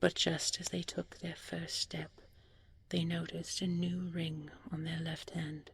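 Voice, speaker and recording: A woman narrating a scripted bedtime fairy tale softly and soothingly, often close to a whisper. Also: fairly low pitch, level tone, slow pace, vocal fry, a little hiss or hum. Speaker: storyteller, audio roleplay performer.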